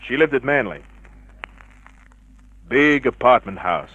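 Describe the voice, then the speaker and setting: Hard-boiled radio detective, muffled sound. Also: male voice, mid-range voice, animated, slow, fairly bright tone, a little hiss or hum. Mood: hard-boiled narrating. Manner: terse, hard-boiled, dry. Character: cynical, unflappable male private detective narrating his case. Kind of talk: 1950s radio detective drama acting. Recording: muffled vintage radio drama recording.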